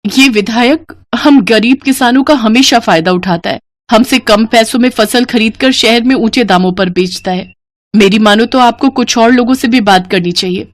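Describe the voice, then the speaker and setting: A woman's voice: fairly high pitch, normal speed, no echo, close to an everyday voice. A woman voicing a farmer's devoted, practical village wife in a moral-story cartoon. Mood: concerned.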